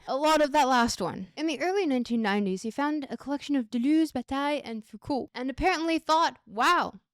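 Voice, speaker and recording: A woman with a high voice narrates a scripted video essay. Her delivery is conversational and irreverent, full of sardonic asides. The sound is dry, with hardly any room in it. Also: fairly quick, dry recording.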